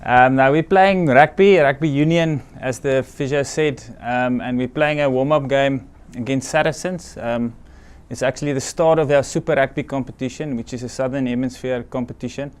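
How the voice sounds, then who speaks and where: A middle-aged South African man for whom English is a second language, answering interview questions in a modest, hesitant way with many pauses. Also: medium pitch, smooth. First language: Afrikaans or other non-English language. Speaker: team doctor, guest.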